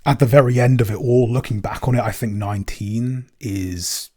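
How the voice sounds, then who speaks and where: A man's voice: medium pitch, fairly even intonation, moderate pace, darkish tone. A man co-hosting a casual podcast, talking hesitantly with many ums and restarts. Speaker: podcast co-host and composer.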